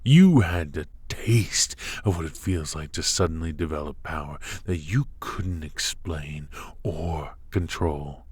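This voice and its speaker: Dark sorcerer-conqueror for ASMR fantasy audio , acted by a man: breathy husky voice, unhurried dramatic pauses, silky formal menace. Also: rumbling low, no echo, heavily acted. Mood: indignant, proud. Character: dark warlord sorcerer villain, commanding, mocking, coolly menacing. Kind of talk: ASMR fantasy villain roleplay.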